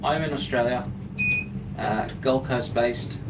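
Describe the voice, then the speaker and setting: A man from Germany chats to viewers from a crane cab, drawling along at a slow pace in a mid-range voice. He keeps up easygoing, joking banter as he works. The mic sits away from him, with steady machine noise clearly heard behind. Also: mid-range voice, recorded from a distance. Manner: laid-back, joking banter. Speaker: crane operator. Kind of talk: live-streamed casual chat while working.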